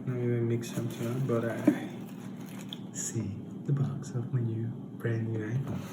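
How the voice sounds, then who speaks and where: A man speaks slowly at a middling pitch, in halting, understated phrases, like a casual comic vlogger showing off a purchase. The recording is roomy and echoey, with loud noise behind his voice. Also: flat delivery. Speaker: presenter of a parody unboxing video. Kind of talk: casual unboxing vlog, comic.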